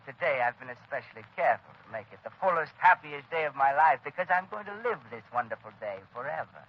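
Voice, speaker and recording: Gloating mad inventor, vintage radio. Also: male voice, a little high, animated, fast talker. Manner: theatrical, grandiose, emphatic declaiming. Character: deranged, gloating male inventor, bitter murderous cousin. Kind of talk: 1930s radio drama acting. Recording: dull old 1930s radio broadcast.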